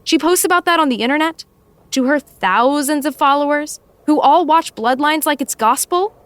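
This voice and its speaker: A woman's voice: sits high, normal speed, a bit bright, dry recording. A woman playing a blunt, exasperated assistant in an audio drama, firm, articulate and dryly sarcastic. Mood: firm, scolding. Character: blunt, exasperated female assistant scolding her boss. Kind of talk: naturalistic audio drama acting.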